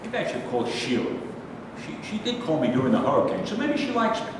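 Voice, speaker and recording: A man's voice: on the high side, sing-song, brisk. A man in a staged play reading, playing a bitter, contemptuous, self-justifying man who grumbles and declaims theatrically. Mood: defensive, hesitant. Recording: distant, very echoey hall, faint noise.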